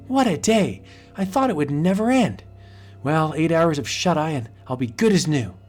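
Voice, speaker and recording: A woman voicing a frightened young female fashion model in an old cartoon, shrill and panicky. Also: animated, normal speed, darkish tone, soft background music. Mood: weary.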